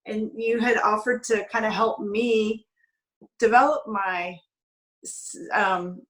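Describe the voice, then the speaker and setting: A woman chatting casually and earnestly as a show host, with an expressive, conversational way of talking. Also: medium pitch, slow, rough recording. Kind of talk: casual interview chat.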